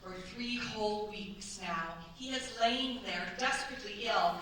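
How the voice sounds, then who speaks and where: A woman's voice: medium pitch, a little slow, fairly bright tone, natural voice. A woman playing a haughty, possessive older mother of a grown son, formal and theatrical in a staged radio play. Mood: forceful, worried. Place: stage at a cultural centre. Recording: echoey stage, distant mic, faint noise.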